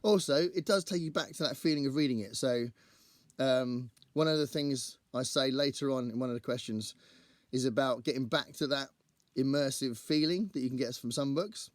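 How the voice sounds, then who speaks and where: Male book vlogger, croaky and strained, medium pitch, dry close sound, chatty and digressive. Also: moderate pace, dry recording. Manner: chatty, rambling, thinks aloud. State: croaky voice after a singing gig. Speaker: book channel host. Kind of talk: casual to-camera book chat.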